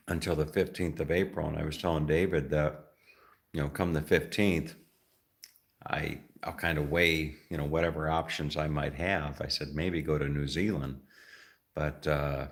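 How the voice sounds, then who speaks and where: A middle-aged man from the United States talking casually as a travel vlogger, rambling and halting with many fillers and restarts. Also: a bass voice, flat delivery, vocal fry. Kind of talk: casual vlog talk.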